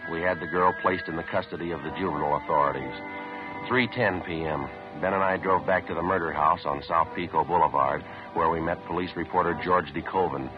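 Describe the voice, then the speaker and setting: An actor playing a calm, businesslike police detective in a 1950s radio drama, deadpan and matter-of-fact. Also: male voice, low voice, a little fast, natural voice. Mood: flat narrating. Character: calm, businesslike male police detective, narrating his case. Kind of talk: 1950s radio drama acting, clipped procedural delivery. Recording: muffled 1950s radio recording with music.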